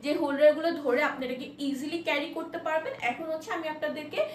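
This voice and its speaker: A Bangladeshi woman with a high voice gives an informal product demo. She explains step by step, a little haltingly, and drops in English words. The recording is echoey and distant from the microphone. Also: fairly even intonation. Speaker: product presenter. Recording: echoey room, distant mic, faint noise.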